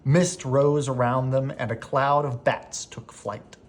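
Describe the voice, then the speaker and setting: A man reading a children's bedtime story aloud as an expressive narrator. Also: mid-range voice, slow. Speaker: storybook reader.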